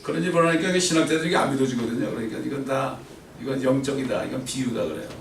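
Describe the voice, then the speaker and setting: A middle-aged man preaching a sermon, swinging between loud emphatic peaks and quiet asides and asking the congregation questions. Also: mid-range voice, rapid-fire, vocal fry, a little hiss or hum. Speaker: pastor. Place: church sanctuary. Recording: roomy church echo from far off.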